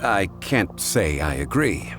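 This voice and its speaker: A calm, scholarly gentleman of quiet authority in a video game. The voice is deep with lively inflection, and the phrasing is measured and formal over a music bed. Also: full character voice. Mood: composed, courteous.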